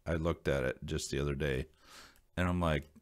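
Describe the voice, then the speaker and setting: A man's voice: rumbling low, steady tone, normal speed. A man with an American accent giving a casual, unscripted explanation to viewers in a rambling, folksy, plain-spoken way. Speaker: video creator sharing radio hobby advice.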